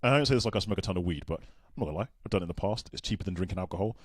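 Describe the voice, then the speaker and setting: Low voiced guy talking fast on a video call, jokey and rambly, dry sound. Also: expressive, no room sound. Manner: quick, joking, rambling with restarts. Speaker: podcast co-host. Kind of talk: casual chat between friends.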